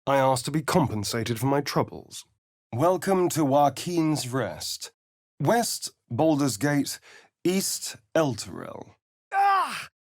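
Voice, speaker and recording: An actor voicing a sly, vain, theatrical vampire spawn rogue in a video game, with an arch, flirtatious drawl. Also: male voice, medium pitch, wildly swooping pitch, slow pace, no room sound, studio quality, full character voice. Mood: wry, conversational, then strained, alarmed.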